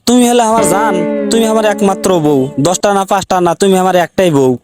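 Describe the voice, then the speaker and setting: A man's voice: high voice, animated, fast, loud music behind the voice. A man voicing an exasperated, pleading village husband in a comic cartoon, with a North Bengal accent, complaining in questions. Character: exasperated, pleading village husband who turns on his wife. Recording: clearly noisy recording with clicks.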